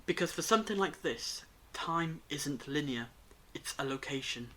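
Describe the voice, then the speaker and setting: An actor playing a grave, knowing time traveller in an audio drama, speaking in a measured, portentous way. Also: mid-range voice, animated, fairly bright tone. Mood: grave, explaining.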